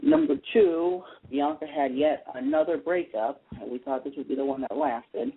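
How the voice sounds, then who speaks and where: A man's voice: on the high side, very animated, normal speed, gravelly, breathy edge. A man hosting a casual radio talk show about TV, chatty and opinionated as he explains his views. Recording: tinny phone-like internet radio line.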